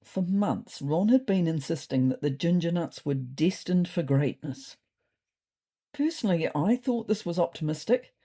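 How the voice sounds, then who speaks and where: Neurotic ginger cat played by a woman, wordy dry storybook diarist, animated intonation, mellow tone. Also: fairly low pitch, moderate pace. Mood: dry, wry. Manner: dry, fretful, wordy understatement.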